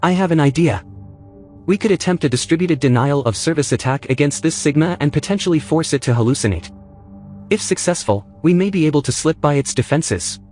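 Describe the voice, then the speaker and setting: A man's voice: on the high side, animated, a little fast, a little mellow, close to an everyday voice. A man playing an eager, loyal AI sidekick in an audio drama, polite, earnest and precise. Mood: earnest, informative. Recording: music under the dialogue.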